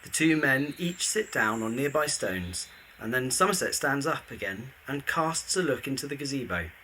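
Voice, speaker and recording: A man's voice: mid-range voice, very animated, normal speed, bright, forceful tone, a little hiss or hum, poor audio. A man narrating a scripted audio drama in the present tense, describing scenes and actions.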